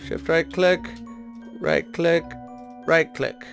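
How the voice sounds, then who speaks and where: A man with a somewhat high-pitched voice gives casual gameplay commentary over background music. He thinks aloud as he goes, often questioning what he is doing in an informal, unscripted way. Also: takes it slowly, fairly bright tone. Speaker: gaming video host. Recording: music behind the voice.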